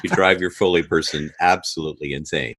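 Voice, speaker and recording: A man's voice: low-pitched, fairly even intonation, brisk, a bit bright, husky. A man speaking as a guest composer and sound editor in a conversational podcast interview, animatedly acting out quoted reactions.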